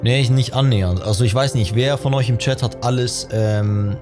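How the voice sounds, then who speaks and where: A man's voice: medium pitch, brisk. A German man giving casual, slangy, joking live-stream commentary as he plays a game. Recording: game music behind the voice.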